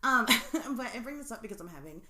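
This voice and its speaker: A woman's voice: fairly high pitch, sing-song, fast talker, bright, forceful tone. Giggly woman in her twenties, roomy sound. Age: 20s. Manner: laughs often, rambling with many fillers. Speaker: podcast co-host. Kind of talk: casual chat.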